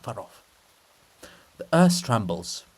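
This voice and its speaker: A man narrating a play as a dramatic reading, deliberate and sometimes hushed, with occasional stumbles over words. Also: on the low side, big pitch swings, husky. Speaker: narrator and reader. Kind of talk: dramatic reading of stage directions.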